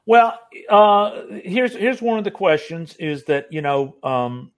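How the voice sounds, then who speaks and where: A man's voice: high-pitched, animated, slow. A man in his sixties hosting a casual interview, rambling with many fillers and restarts. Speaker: host.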